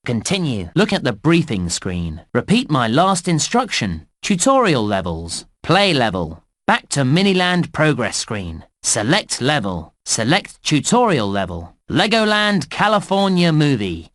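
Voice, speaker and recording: Very animated children's game narrator. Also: male voice, on the high side, no room sound, clean studio sound, heavily acted. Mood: bright, prompting. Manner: deliberate, clearly enunciated, instructive. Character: cheerful male theme park narrator in children's game. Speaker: game narrator reading menus and hints.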